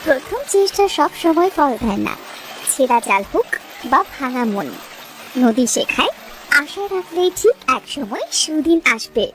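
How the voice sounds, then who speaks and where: A woman's voice: high voice, big pitch swings, measured pace, music in the background, rough recording. A woman playing a wise storyteller who delivers scripted cartoon narration in a solemn, poetic way. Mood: hopeful.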